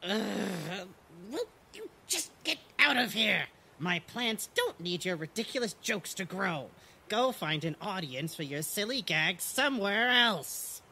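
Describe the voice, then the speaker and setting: A man's voice: high voice, very animated, measured pace, creaky, bright, forceful tone, slightly rough, full character voice. A man voicing a fussy, dry-witted puppet gardener and bug expert in a cartoon, with prim, precise, sardonic wording. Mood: exasperated. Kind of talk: cartoon character voice acting.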